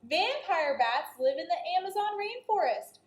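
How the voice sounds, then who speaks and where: A woman's voice: extremely high pitch, sing-song, unhurried, reverberant. A woman teacher reading a children's story aloud, expressively and speaking directly to her young listeners.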